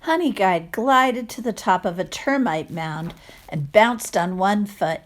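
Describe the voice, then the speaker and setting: Lady reading a kids story out loud, real slow, expressive, stops to chat w the kids. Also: mid-range voice. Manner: expressive, acts out sound words, asks listeners questions. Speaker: teacher reading a picture book to children. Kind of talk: animated read-aloud storytelling with chatty asides.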